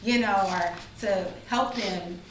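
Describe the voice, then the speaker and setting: A woman's voice: medium pitch, slow pace. A woman speaking casually and enthusiastically in a group discussion, encouraging the others. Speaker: table read participant. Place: indoor room at a group table read. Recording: echoey room, far mic, hissy noise.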